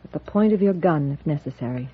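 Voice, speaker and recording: Female frontier doctor, dutiful, weary, husky, vintage western radio drama, muffled sound. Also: low voice, animated, fast talker. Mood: grave, urgent. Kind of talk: 1950s radio western acting. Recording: dull old radio recording.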